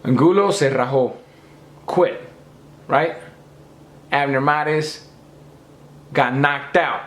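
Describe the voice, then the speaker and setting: An emphatic man with a hoarse, slightly high voice lectures his viewers and hammers each point home. His voice bounces around an echoey room. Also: slow pace, fairly bright tone. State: losing his voice. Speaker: boxing commentator. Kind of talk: opinionated rant to camera.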